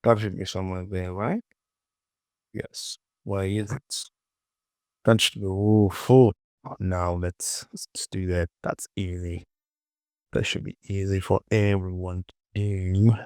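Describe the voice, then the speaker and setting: Male tutorial presenter, unhurried and slow, dry close sound, thinking aloud in short clipped phrases. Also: deep voice, steady tone, a little mellow, clean tone, no room sound. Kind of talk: casual screen-recorded software tutorial, thinking aloud.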